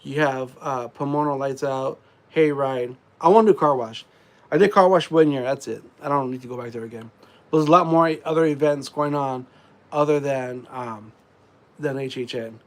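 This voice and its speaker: A congested man rambling casually on a live stream, full of fillers and frequent throat clearing. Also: mid-range voice, normal speed.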